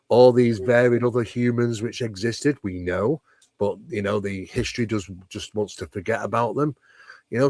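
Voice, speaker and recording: A man's voice: medium pitch, a little mellow, no echo. A man speaking as an interview guest, explaining ideas at length in an emphatic, conversational way and often posing rhetorical questions. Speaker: interview guest, paranormal researcher. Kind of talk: conversational explanation of paranormal topics.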